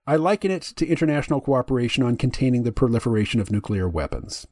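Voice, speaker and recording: Man, deep voice, podcast host, measured and dry delivery, mid pitch, dry close sound. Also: lively intonation, a little mellow, no echo. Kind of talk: reading a dialogue aloud.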